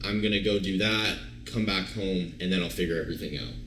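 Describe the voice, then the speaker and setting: A young man in his early twenties with a very low voice that carries a sharp, forceful brightness. He tells his story loosely, full of fillers and restarts. The recording has a roomy echo around him. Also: brisk, slight background noise. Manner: relaxed, rambling, many fillers and restarts. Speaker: guest, online fitness coach. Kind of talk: casual storytelling interview.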